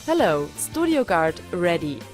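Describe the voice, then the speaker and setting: A woman's voice: lowish voice, big pitch swings, a little slow, a little mellow, soft background music. A woman speaks short scripted announcements as the voice prompt of a device.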